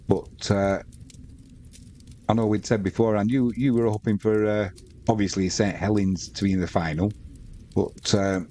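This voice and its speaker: A man's voice: fairly low pitch, fairly even intonation, moderate pace, long pauses, warm tone. A man with a Manx accent hosting a live sports stream, chatting casually and reading out viewer comments between bits of match commentary.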